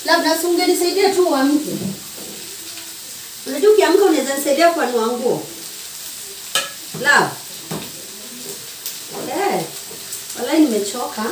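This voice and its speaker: A woman's voice: high-pitched, lively intonation, moderate pace, pauses often, noisy background. Chatty Kenyan woman cooking in an echoey kitchen. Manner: chatty, mixes Swahili slang and English, teasing. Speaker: vlogger. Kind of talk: casual chat while cooking. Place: small home kitchen, indoors. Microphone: camera on the counter, a few feet away. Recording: echoey kitchen, far mic, loud sizzling. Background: frying and sizzling pans.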